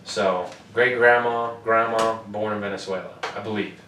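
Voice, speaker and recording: A man's voice: lowish voice, steady tone, normal speed. A young man from the US co-hosting a casual sports podcast, loose and profane, with frequent joking. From United States. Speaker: podcast co-host. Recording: roomy, distant mic with faint noise.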